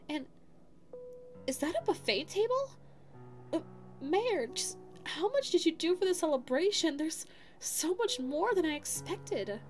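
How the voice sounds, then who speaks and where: A woman's voice: sits high, a little mellow. A woman voicing a sweet, flustered, lovestruck young female dog secretary in an ASMR audio roleplay, stammering and self-effacing. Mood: amazed, cheerful. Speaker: voice actor.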